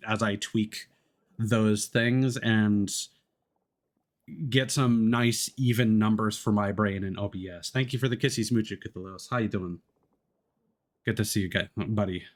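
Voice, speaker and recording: A young man streaming from home, chatting casually with viewers, rambling through fillers and restarts and swearing freely. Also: fairly low pitch. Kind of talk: casual live-stream chat.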